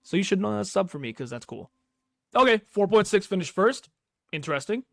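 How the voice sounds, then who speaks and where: Higher-voiced man, casual tech YouTuber. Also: sing-song, fairly quick, creaky, no echo, studio quality. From Pakistani background. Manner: slangy, reacting aloud as things happen. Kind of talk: casual unscripted screen-recorded commentary, testing software.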